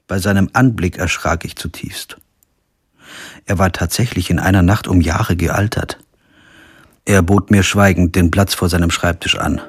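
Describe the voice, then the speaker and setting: An actor playing a brooding, weary middle-aged lawyer who narrates his own investigation in a measured, introspective way in a radio crime drama. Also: sits low, breathy edge, dry recording, studio quality. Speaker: narrator and lead. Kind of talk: scripted first-person narration and dialogue in a radio crime drama.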